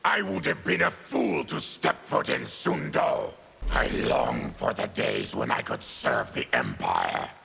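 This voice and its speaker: A man voicing a fierce, bitter, diseased mutant warrior in a video game, with a gravelly, raspy growl and grim, forceful delivery. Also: mid-range voice, wildly swooping pitch, heavily acted. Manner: grim, forceful, slow declarations.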